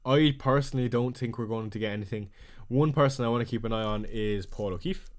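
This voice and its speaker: This is a man with a strong Irish accent running a live fantasy football stream. He talks in an easygoing, chatty way, reading out viewer questions and firing back short answers. His voice sits at a middling pitch for a man, recorded close on a desk mic. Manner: chatty, reads viewer messages aloud and answers them quickly. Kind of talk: casual live stream chat.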